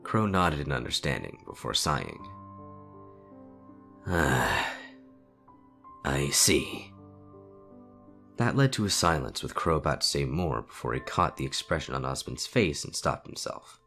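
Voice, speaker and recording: A man's voice: a bass voice, big pitch swings, fairly quick, gravelly, hardly any pauses, pushed and bright, faint music behind. A man reading a story as a narrator, in a dramatic scripted storytelling style.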